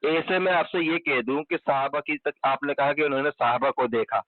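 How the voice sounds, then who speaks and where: A man's voice: a little high, fairly even intonation, moderate pace. Insistent man debating on a muffled phone call. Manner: insistent, repeats pointed questions. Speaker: debater in a recorded religious phone discussion. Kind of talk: argumentative religious debate. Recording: muffled, band-limited telephone call recording.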